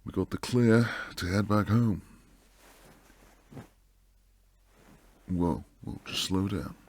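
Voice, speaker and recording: A man playing a protective, devoted bodyguard and secret lover in an intimate boyfriend roleplay, low and husky, speaking earnestly close to the mic. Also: unhurried, darkish tone, exaggerated voice. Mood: urgent, reassuring. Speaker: audio roleplay performer. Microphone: close, dry studio mic.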